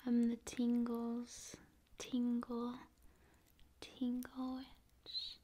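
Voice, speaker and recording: A woman speaking softly and often in a whisper, in an intimate, soothing ASMR style addressed to the viewer. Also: fairly high pitch, monotone, extremely slow, slight background noise. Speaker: ASMR video host.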